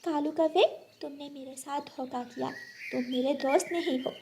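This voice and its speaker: Cartoon bird heroine, played by a woman: small, high, earnest and clever, pleading delivery, some background noise. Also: normal speed, dark tone. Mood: reproachful. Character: brave, kind little female bird, earnest and clever. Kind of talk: Hindi children's cartoon acting.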